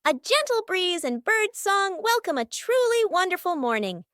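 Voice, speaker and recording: Woman, children's story narrator, very high voice, lively intonation, dry close sound. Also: moderate pace, no room sound. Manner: expressive storybook delivery.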